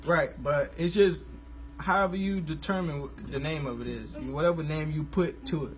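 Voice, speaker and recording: A man, hosting a casual live stream about gospel music, talking in a relaxed, rambling, slangy way. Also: on the high side, normal speed, slight background noise, rough recording. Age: 20s.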